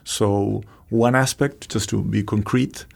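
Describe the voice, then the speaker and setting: Gravelly, husky Argentine man explaining. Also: lowish voice, steady tone, slow. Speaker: economics professor, interview guest. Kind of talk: explanatory interview talk.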